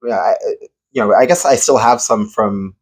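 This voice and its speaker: A man from New York, an astronomer guest in an interview, talking modestly with lots of pauses and fillers. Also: fairly low pitch, breathy edge. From New York City, USA. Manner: hesitant, many fillers, modest. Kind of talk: casual interview chat.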